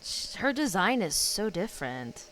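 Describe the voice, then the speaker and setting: A woman streamer reacting to a game story, talking casually in slangy, quick asides. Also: medium pitch, big pitch swings, a bit bright, slight background noise, poor audio. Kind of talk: casual live reaction commentary. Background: game music.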